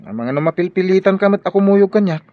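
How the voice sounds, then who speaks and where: A man's voice: high-pitched, lively intonation, fast, no room sound. An actor playing a young male student who talks earnestly and encourages his friend in a radio drama. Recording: dull radio drama audio.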